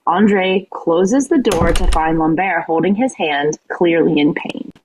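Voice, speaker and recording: Lowish-voiced woman narrating, noisy recording. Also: a little slow, darkish tone. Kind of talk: scripted narration of stage directions.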